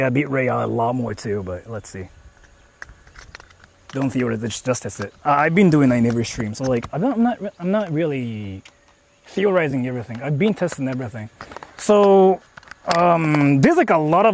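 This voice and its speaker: A man's voice: a little high, slight background noise. A man live-streaming outdoors from a phone, rambling with lots of fillers as he explains his tech setup to chat. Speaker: IRL streamer. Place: outdoors in the countryside, on the move. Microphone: phone held while streaming.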